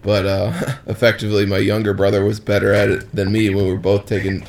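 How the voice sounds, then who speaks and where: A man's voice: fairly low pitch, monotone, fairly quick, very noisy. A man of twenty-nine hosting a casual interview, speaking in an informal, easygoing and slightly hedging way. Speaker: interviewer, host. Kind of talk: casual chat.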